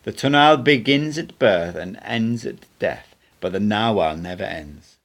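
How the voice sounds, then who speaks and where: A man's voice: lowish voice, expressive, unhurried. A man reading the part of an unruffled male mystic teacher in an audiobook, instructive, cryptic and teasing. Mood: mocking, instructive. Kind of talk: audiobook character dialogue.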